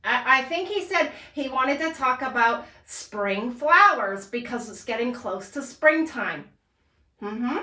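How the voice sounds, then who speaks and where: A woman's voice: fairly high pitch, lively intonation, takes it slowly, bright, forceful tone, reverberant. A woman hosting a children's puppet show, telling an interactive story in a playful, coaxing, sing-song way.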